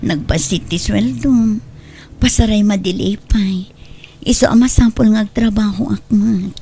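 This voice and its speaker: A woman's voice: medium pitch, unhurried, creaky, mellow, husky. An actress with an Ilocano Filipino accent playing a discontented, stubborn young wife and mother in an audio drama. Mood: insistent. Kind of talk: dramatic radio-style drama acting in Ilocano.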